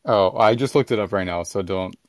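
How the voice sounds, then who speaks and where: A man co-hosting a casual podcast chat in a monotone way. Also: fairly low pitch, brisk, dry recording, clean studio sound. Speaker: podcast co-host.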